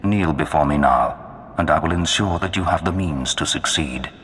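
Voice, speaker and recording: Cavernous, very deep menacing Sith lord. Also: male voice, fairly bright tone, a little hiss or hum. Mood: imperious. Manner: grandiose, slow, commanding. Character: menacing male Sith lord of a dark empire. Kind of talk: video game villain acting. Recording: long cavernous reverb.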